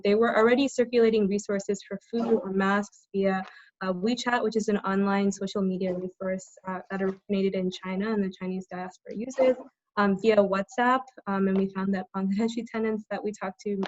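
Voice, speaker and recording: A woman's voice: medium pitch, steady tone, brisk, no room sound. A young woman who is a tenant organizer, speaking on a video call with many hesitant ums and uhs. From United States. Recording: muffled, dry video call audio.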